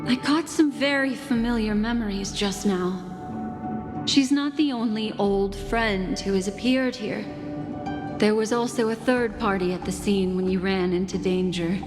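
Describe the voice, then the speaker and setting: A woman voicing a composed, mysterious seer in a video game, speaking in measured, deliberate, elegant phrases. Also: mid-range voice, natural voice. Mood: urgent, mysterious. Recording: long reverb, music underneath.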